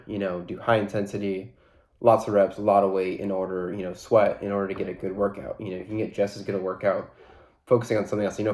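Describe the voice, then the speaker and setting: A man with a stuffy, congested nose hosts a solo podcast, rambling casually and easily with plenty of fillers. His voice sits on the low side, and it rings a little in an echoey room. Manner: relaxed, rambling, many fillers. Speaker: podcast host.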